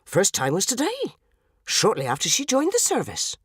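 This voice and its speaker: Train manager, male played by a woman reader: officious, stilted railway employee, lively storybook delivery. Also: medium pitch, normal speed, slightly rough, no room sound, clean studio sound, voice pushed up. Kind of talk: dramatised story reading.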